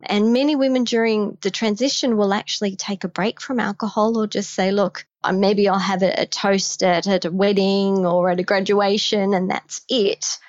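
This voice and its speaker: A woman's voice: medium pitch, steady tone, moderate pace, hardly any pauses, no room sound, lo-fi sound. A woman with an Australian accent, a guest on a podcast, giving emphatic, direct advice on health in midlife. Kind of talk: podcast conversation.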